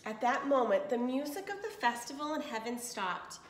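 Higher-pitched woman reading aloud, echoey room. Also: very animated, normal speed. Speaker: storyteller reading aloud to children. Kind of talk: picture book read-aloud with asides.

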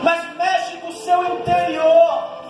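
High-voiced Northeastern Brazilian pastor preaching. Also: male voice, level tone, slow pace. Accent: Northeastern Brazilian Portuguese. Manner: impassioned preaching, surging then dropping low. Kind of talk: sermon. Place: small tiled church hall, indoors. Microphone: handheld mic at a pulpit. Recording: echoey hall, distant camera, loud music.

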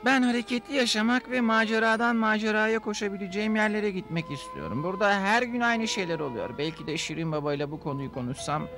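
A small, dreamy cartoon creature hungry for adventure. His voice sits fairly high, earnest and wistful, with clear music playing behind it. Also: quick pace, hardly any pauses, pushed and bright. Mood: wistful, determined. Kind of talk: cartoon dub acting.

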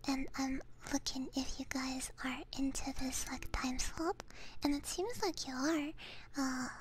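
A young woman streaming ASMR, chatting casually to viewers in a soft, breathy, gentle whisper, close to a binaural microphone. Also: sits high, fairly even intonation, unhurried, a little hiss or hum, poor audio. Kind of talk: whispered ASMR livestream chat.

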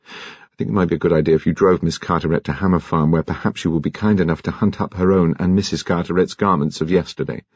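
A man playing a courteous English police detective in an audiobook, calm, probing and gently authoritative. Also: deep voice, slightly rough. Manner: polite, measured, gently authoritative. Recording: muffled, echoey room.

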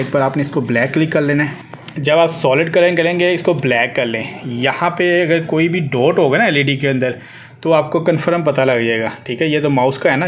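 A man giving an unscripted, step-by-step tech tutorial, instructing and repeating phrases as he demonstrates. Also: mid-range voice. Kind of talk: hands-on spoken tutorial, unscripted. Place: indoors at a desk with a laptop. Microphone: handheld phone behind the camera. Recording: clearly noisy homemade phone recording.

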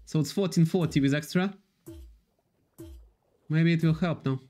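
A man in his thirties hosting a live slots stream from an indoor room, talking loosely to his chat in halting, repetitive English. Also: a little high, warm tone. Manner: reads and answers chat, loose non-native English with repetitions.

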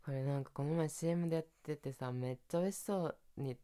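A man's voice: on the high side, quick pace, mellow, clear, resonant tone, close to an everyday voice. A young man acting as an affectionate dog owner in an ASMR roleplay, cooing and playfully baby-talking to his pet. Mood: doting. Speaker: ASMR voice performer. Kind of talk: Japanese ASMR situation voice roleplay.

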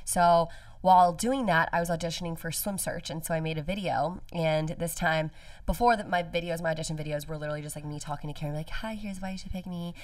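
A woman's voice: deep voice, fairly even intonation, fast talker, no room sound, far from the mic. A woman in her late twenties, a podcast guest, chatting casually and earnestly about her career, with many "like" fillers. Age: 20s. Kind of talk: casual interview chat. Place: podcast studio with window. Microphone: studio mic on stand, headphones.

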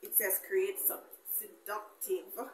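A young woman chatting casually to camera as a beauty vlogger, animated and colloquial, addressing viewers familiarly. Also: medium pitch, slow pace, echoey room, a little hiss or hum. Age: young adult. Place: small indoor room with bare wall.

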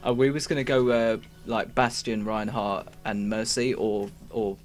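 A man's voice: lowish voice, fairly even intonation, measured pace. A young man in casual gaming chat with friends, joking, laughing and swearing. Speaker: gamer playing with friends. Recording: game music in background.

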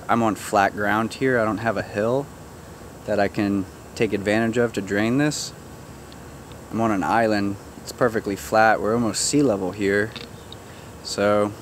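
A man casually showing viewers around his backyard garden outdoors, chatty and relaxed, joking with his animals. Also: lowish voice, a little hiss or hum. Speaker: gardener giving a backyard tour. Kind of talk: casual walk-through narration.

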